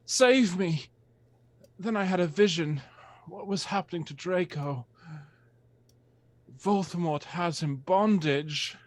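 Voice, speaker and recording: A woman's voice: lowish voice, takes it slowly, pauses often, no room sound. A woman voicing an anguished, melodramatic teenage wizard boy in an amateur dramatic reading of fan fiction. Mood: anguished, earnest.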